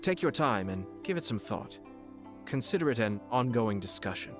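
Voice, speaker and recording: A man's voice: medium pitch, sing-song, close to an everyday voice. Man playing a polished, sly young nobleman over music. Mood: relaxed, courteous. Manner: polished, formal, composed, playfully measured. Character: refined, courteous young nobleman, sly and teasing. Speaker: video game character voice actor. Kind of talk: scripted game character voice lines. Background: background music.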